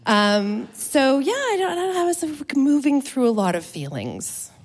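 A woman's voice: high voice, sing-song, moderate pace. A woman in her fifties from Canada talks in a loose, playful way. She laughs easily and drops jokey asides as she chats. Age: 50s. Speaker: musician guest. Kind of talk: casual interview chat.

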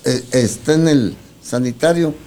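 A man's voice: medium pitch, moderate pace, warm tone. A man with a Mexican accent hosting a radio show in casual talk, rambling and repeating himself. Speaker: radio host.

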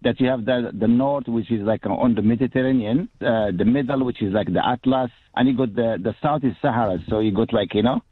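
A man's voice: medium pitch, a little fast, few pauses, husky. A man from Morocco with a Moroccan accent, chatting casually as a radio phone-in guest, often repeating himself as he searches for words, over a phone. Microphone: phone call. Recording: dull, lo-fi, echoey phone line.

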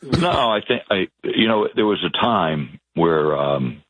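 A man from the Boston area with a Boston accent, a guest in a political talk, speaking earnestly with halting restarts. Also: low-pitched, expressive, a bit bright, slightly rough, dry recording. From Boston area, Massachusetts. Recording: tinny phone-like line.

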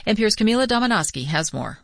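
Female newscaster, mid pitch, composed scripted read, dry studio sound. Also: big pitch swings, quick pace, no room sound. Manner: crisp, composed delivery. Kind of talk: scripted news reading.

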